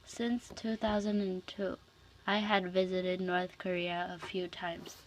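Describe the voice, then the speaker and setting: Woman, young adult, student reading aloud, careful, restarts words, slow, monotone. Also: fairly low pitch, rough recording. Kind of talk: reading a speech aloud for class.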